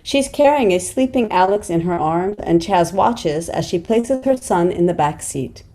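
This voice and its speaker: Mellow, lowish-voiced woman reading script narration. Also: expressive, a little slow. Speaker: narrator reading stage directions. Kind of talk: screenplay table read narration.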